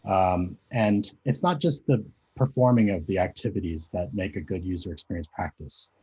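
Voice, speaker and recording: Husky deep guy in his 40s talking thru a video call, lots of ums, kinda hesitant. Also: moderate pace, darkish tone. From Japan. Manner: hesitant, filler-heavy, conversational. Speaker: conference speaker. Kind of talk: presentation over a video call. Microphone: video call mic.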